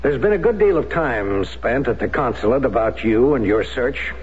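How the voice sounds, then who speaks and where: An actor playing a concerned, reasonable American consular official in a radio drama, with a polite, measured manner. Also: male voice, medium pitch, expressive, darkish tone, breathy edge. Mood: courteous concern. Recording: dull old radio recording, faint noise.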